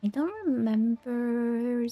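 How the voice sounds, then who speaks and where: A woman's voice: on the high side, fairly even intonation, takes it slowly. A woman game streamer, casually commenting and reading aloud in an easygoing way. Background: soft game music.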